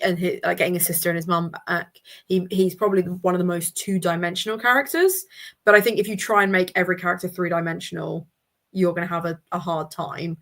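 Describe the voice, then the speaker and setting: A woman's voice: fairly low pitch, a bit bright. A woman on a book discussion panel, chatting casually and thoughtfully as she works out her opinions.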